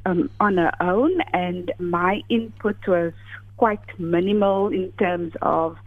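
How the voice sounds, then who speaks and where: An older South African woman with Afrikaans as her first language speaks over a tinny phone line. She talks in a thoughtful, halting way, pausing with fillers. The sound is thin and dry. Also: fairly low pitch, dry recording. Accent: South African English. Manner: thoughtful, hesitant, many fillers. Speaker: author, interview guest.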